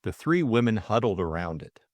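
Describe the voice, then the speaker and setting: A man's voice: fairly low pitch, sing-song, fairly quick, dry recording. A man reading a scripted story recap and novel as an audiobook co-narrator, in dramatic style.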